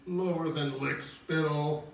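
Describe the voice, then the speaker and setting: A man playing an annoyed, threatening investigator in opera recitative, stern and declamatory, with drawn-out words. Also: on the high side, steady tone, creaky, a little hiss or hum. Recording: echoey room, distant mic, music.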